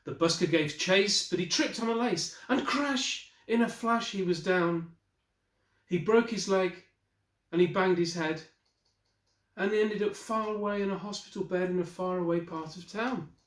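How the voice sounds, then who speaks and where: A man in his forties reading a picture book aloud as an expressive storyteller, the way a teacher would. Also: high-pitched, normal speed. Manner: expressive storyteller with brief asides to listeners. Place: small wallpapered room indoors. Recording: roomy, echoey indoor recording.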